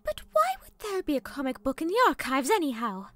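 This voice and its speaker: A woman plays a prim, fashionable mare with a high, lively voice in a fan audio drama. She talks theatrically, with loud crowd noise behind her. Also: fairly quick.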